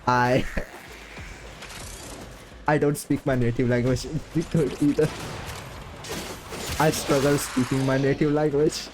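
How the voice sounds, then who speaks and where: A man's voice: mid-range voice, level tone, takes it slowly, long pauses, noisy background. A man, not a native English speaker, chatting casually while streaming a game, rambling with lots of fillers and little laughs. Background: game music.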